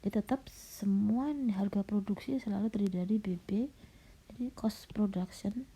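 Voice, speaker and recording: A middle-aged woman lecturer, slightly hoarse and coughing, patiently walking students through a recorded slide lecture with frequent fillers. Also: medium pitch, moderate pace, mellow, slight background noise. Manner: patient, explanatory, with frequent "nah" and "ya". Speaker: university lecturer. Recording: dull lo-fi recording.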